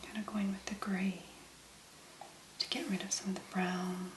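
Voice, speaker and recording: A woman doing soft-spoken ASMR, murmuring relaxed, whispery commentary as she works. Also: medium pitch, moderate pace, echoey room, a little hiss or hum. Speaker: ASMR creator.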